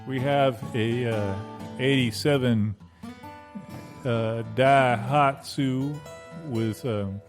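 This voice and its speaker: A man playing a folksy, sly church car salesman giving an earnest, rambling, halting local advertisement. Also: medium pitch. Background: plucked guitar music.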